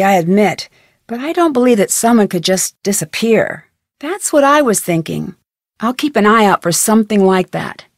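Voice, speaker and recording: An actress playing a curious, level-headed young female detective in an adventure game, speaking in an earnest, composed, clearly enunciated way. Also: medium pitch, darkish tone, no room sound, studio quality, natural voice. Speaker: voice actor, game protagonist. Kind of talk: scripted adventure game dialogue.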